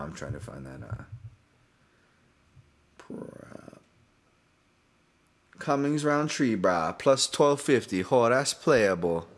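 A man with a Hawaiian accent and a fairly low voice, talking through his thoughts alone on a podcast. He rambles, restarts his sentences and swears casually as he goes. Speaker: podcast host and fight analyst. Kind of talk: casual solo podcast breakdown.

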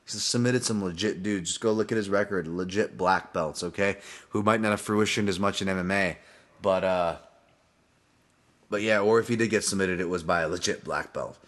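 A man with a Hawaiian accent and a fairly low voice, talking through his thoughts alone on a podcast. He rambles, restarts his sentences and swears casually as he goes. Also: moderate pace, a bit bright. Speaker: podcast host and fight analyst. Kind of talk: casual solo podcast breakdown.

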